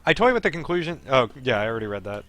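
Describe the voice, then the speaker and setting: A man's voice: mid-range voice, big pitch swings, rapid-fire, sharp tone. A man streaming a game, reading story text aloud and breaking off into chatty, digressive commentary. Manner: chatty, digressive asides while reading narration. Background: game music.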